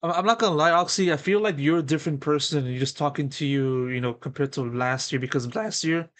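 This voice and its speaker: A man's voice: a little high, brisk, fairly bright tone. A man interviewing a pro player, asking long, wordy questions full of fillers.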